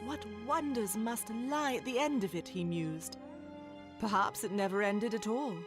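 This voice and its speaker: A woman reading scripted storybook narration in a measured, expressive storytelling manner. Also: fairly high pitch, music in the background.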